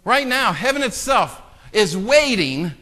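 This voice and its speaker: A male pastor with a bright, forceful, fairly high voice preaches in a church sanctuary, his words ringing in long reverb. He drops to hushed, self-mocking asides to the congregation, then surges into loud, fervent calls. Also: very animated, a little slow. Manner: self-deprecating joking with the congregation. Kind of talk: sermon.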